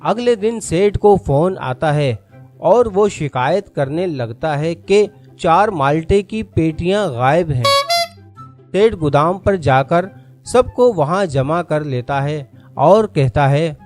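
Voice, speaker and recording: A male narrator reads a scripted story. His voice sits slightly high, and music plays behind him. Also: lively intonation, a little mellow.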